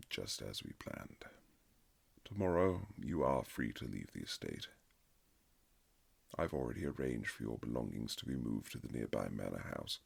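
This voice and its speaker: Man acting a very deep, gravelly lovelorn gentleman husband. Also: full character voice. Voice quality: gravelly, husky. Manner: formal, old-fashioned, halting, earnest phrasing. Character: reserved aristocratic husband, formal, tender, secretly lovelorn. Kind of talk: intimate romantic audio roleplay, period drama.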